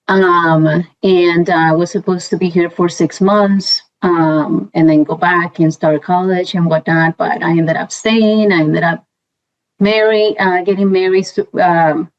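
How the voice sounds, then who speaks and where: Colombian woman, lowish voice, lo-fi sound. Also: steady tone, a little slow. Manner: chatty, many fillers and restarts. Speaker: guest, compliance professional. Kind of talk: casual interview. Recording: dull lo-fi sound.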